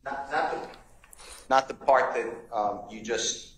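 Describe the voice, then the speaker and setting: A man's voice: medium pitch, steady tone, a little slow. A middle-aged man arguing as a defense attorney in a courtroom. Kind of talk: courtroom argument. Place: large wood-paneled courtroom. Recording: roomy courtroom echo, background noise.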